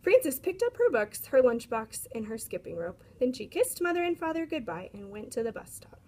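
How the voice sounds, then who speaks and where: A woman reading a children's picture book aloud in an expressive storytelling style. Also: fairly high pitch, moderate pace. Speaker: read-aloud storyteller, teacher.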